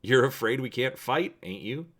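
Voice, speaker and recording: A man's voice: medium pitch, animated, normal speed, a bit bright, pitched above the performer's own voice. A man voicing a stubborn, cheerful old prospector with blunt, folksy speech in a dramatic reading of a space adventure. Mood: folksy, challenging.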